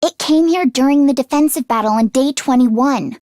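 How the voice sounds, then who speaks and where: A woman voicing an earnest, squeamish teenage schoolgirl mechanic in an anime game, expressive and quick to exclaim. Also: high voice, background noise, close to an everyday voice. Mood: earnest, explaining.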